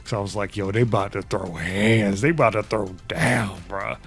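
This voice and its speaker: A man hosting a casual interview, talking in an excitable, slangy way. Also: lowish voice, normal speed, soft background music. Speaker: host.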